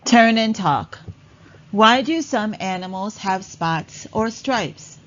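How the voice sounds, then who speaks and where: A woman narrating a read-along lesson for children in a deliberate, instructional way. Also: mid-range voice, lively intonation, lo-fi sound.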